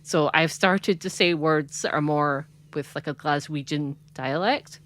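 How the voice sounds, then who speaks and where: Low-voiced Scottish woman chatting. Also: measured pace, a bit bright. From Scotland. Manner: chatty and rambling, frequent "like" and laughs. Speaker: podcast co-host. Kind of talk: casual chat.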